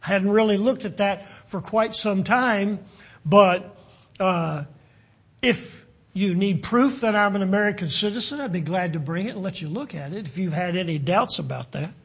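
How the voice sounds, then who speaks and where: An older man from West Virginia with a West Virginian accent, preaching a sermon in a halting way with many fillers and restarts. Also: sits high, a bit bright, husky. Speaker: pastor.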